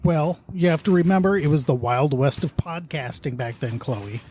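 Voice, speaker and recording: A man's voice: on the high side, normal speed, a little mellow, a little hiss or hum, close to an everyday voice. A man playing a jokey, easily flustered time-crime investigator in a comedic radio drama. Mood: patronizing, flustered.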